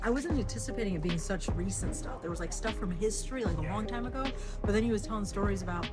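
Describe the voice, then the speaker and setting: A woman's voice: medium pitch, fast, over loud music. A woman chatting casually as a travel vlogger. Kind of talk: casual vlog chat.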